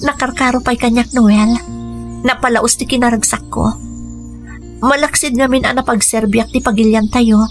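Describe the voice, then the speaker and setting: Actress doing young ilocano woman in love, highish voice, sentimental drawn-out radio drama lines, music behind. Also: steady tone, close to an everyday voice. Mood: devoted. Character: devoted young activist woman named Irene, deeply in love. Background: music under the dialogue.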